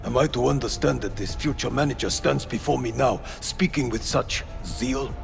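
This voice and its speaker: Imperious villain: formal, heavy creak, long reverb, game cutscene. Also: male voice, medium pitch, normal speed. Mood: scornful. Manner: formal, pompous. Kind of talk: video game cutscene acting. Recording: long reverb, music behind.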